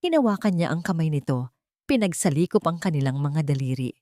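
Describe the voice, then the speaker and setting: A woman's voice: sits low, lively intonation, brisk, warm tone, no room sound, clean studio sound. A woman narrating a scripted dramatic audiobook story.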